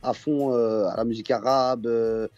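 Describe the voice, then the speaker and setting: A man with a mid-pitched, mellow voice, answering radio interview questions in a relaxed way full of fillers. His voice comes over a dull, lo-fi line with faint music behind it. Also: normal speed, dry recording. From Belgium. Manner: relaxed, frequent fillers and restarts. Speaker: guest musician.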